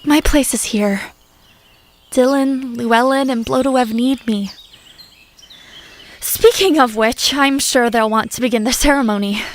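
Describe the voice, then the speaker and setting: A woman playing an earnest, loyal confidant who gives measured, formally worded counsel in an audio drama. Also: fairly high pitch, close to an everyday voice.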